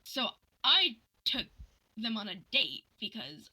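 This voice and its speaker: A woman telling personal stories in casual livestream chat, animated and acting out the dialogue she quotes. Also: fairly high pitch, slow, breathy edge, poor audio.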